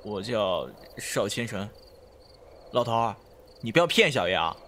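An actor playing a brash, impulsive but devoted young man in an expressive Chinese costume audio drama. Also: lowish voice, unhurried, slight background noise. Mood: wary, cheeky. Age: young adult.

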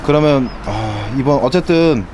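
Upbeat male interviewer, animated delivery, outdoor handheld mic. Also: medium pitch, a little slow, slight background noise. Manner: upbeat, filler-heavy questions. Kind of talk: post-fight interview. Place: outdoor poolside rooftop.

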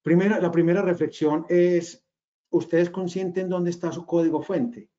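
A man's voice: high-pitched, fairly even intonation, moderate pace, dark tone. A man in his forties with a Colombian regional accent, giving a webinar presentation over a video call, hesitant, with many fillers and restarts. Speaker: webinar speaker, company cofounder. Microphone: video call webcam. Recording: slightly dull video call audio.

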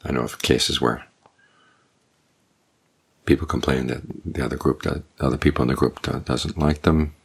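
Very deep gravelly older monk. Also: male voice. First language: English. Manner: calm, measured, unhurried teaching with pauses. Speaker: Buddhist monk giving a Dhamma talk. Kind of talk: evening Dhamma talk.

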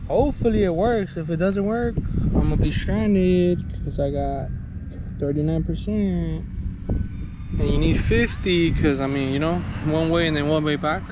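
A young man talks to an action camera held at arm's length outdoors, slangy and swearing freely as he thinks out loud. His voice sits a little high for a man. Heavy wind and street noise roar behind him. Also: measured pace. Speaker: vlogger. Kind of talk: casual chat to a handheld camera while riding. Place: outdoors on a sunny beachside street and park.

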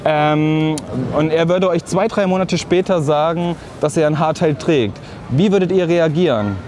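A man running a casual street survey, upbeat and chatty, affirming answers quickly. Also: fairly high pitch, a little mellow. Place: busy street outdoors. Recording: crowd noise from the street.

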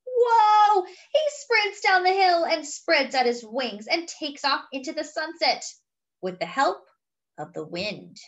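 A woman's voice: high-pitched, very animated, takes it slowly, highs cut off. A woman reading a picture book aloud expressively, with chatty, wondering asides to young listeners. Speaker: children's story reader.

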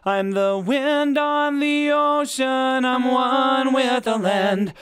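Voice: high voice, slow pace, fairly bright tone, smooth, faint music behind. A lead vocalist singing a melody without accompaniment. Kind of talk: unaccompanied singing.

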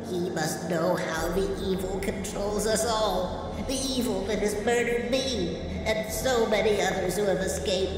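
An actor playing a solemn, dying rebel in a video game, speaking a last testament in a grave, pleading, measured way. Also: male voice, high-pitched. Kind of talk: video game cutscene monologue. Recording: distant, long echo, loud hiss.

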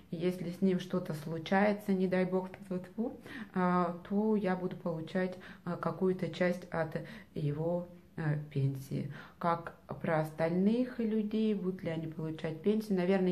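Woman in her thirties, lowish voice, hesitant, casual vlog from a small room. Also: darkish tone. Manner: thoughtful, many hesitations and filler sounds. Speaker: vlogger answering viewer questions. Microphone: camera at arm's length.

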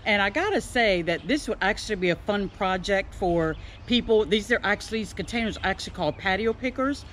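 Older American female, medium pitch, playful casual vlogger, light outdoor noise. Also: lively intonation, brisk, a bit bright. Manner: playful, self-deprecating. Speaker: vlogger showing her home garden. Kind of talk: casual chat to camera. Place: outdoors in a fenced backyard. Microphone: handheld camera at arm's length. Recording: clearly noisy outdoor ambience.

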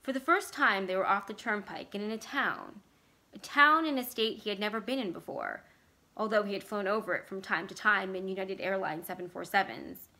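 A woman's voice: medium pitch, fairly quick, bright, forceful tone. A middle-aged woman reading a story aloud as narrator. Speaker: library staff reader. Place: small furnished room indoors.

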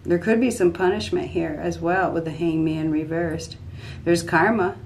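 A woman in her fifties giving an unscripted tarot reading at a desk in a small dark room, repeating herself emphatically as she thinks aloud. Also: on the low side, steady tone, normal speed, a little hiss or hum. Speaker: tarot reader.

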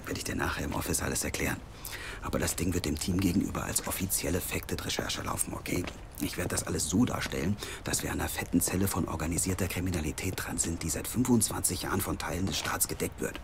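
An actor playing a driven, persuasive investigative team leader in an audio drama, casual yet insistent. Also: male voice, low voice, fast talker. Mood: confiding, affectionate. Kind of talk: naturalistic German audio drama acting. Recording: clearly noisy background.

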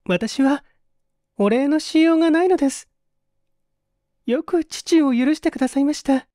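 A man voicing a polite, devoted young woman who speaks softly and formally in a dramatized story reading. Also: very high-pitched, normal speed, dry recording, studio quality, pitched above the performer's own voice. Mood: grateful, relieved. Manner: soft, demure, formal. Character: polite, grateful young woman, devoted daughter, gently inquisitive.

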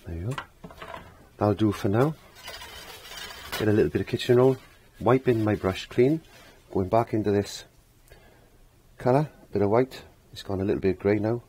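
A middle-aged man with a croaky voice giving a casual step-by-step painting lesson, rambling as if to himself and repeating his phrases. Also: on the low side, moderate pace, pauses often, mellow, slight background noise. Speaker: painting instructor. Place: indoor home art studio.

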